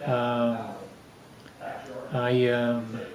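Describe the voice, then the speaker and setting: Older male, mid-pitched, unhurried family raconteur with halting, rambling delivery; echoey room sound. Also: completely flat delivery, a crowd in the background. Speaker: father telling family stories. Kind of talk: casual reminiscing.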